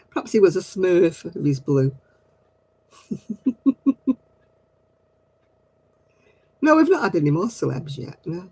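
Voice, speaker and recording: A man's voice: high voice, sing-song, slow, pauses often, a little mellow, slightly rough, no echo, low-quality recording. A middle-aged man, hosting a casual craft livestream and chatting with viewers in a giggly, rambling way. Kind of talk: casual chat answering viewer comments.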